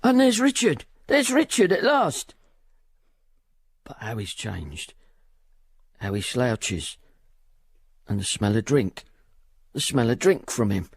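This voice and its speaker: An actor playing an anxious, grieving father, husky and gravelly, telling what he sees in halting, repeated phrases in a radio drama. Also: medium pitch, very animated, dry recording, exaggerated voice. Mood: dismayed. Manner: halting, repetitive, hushed storytelling.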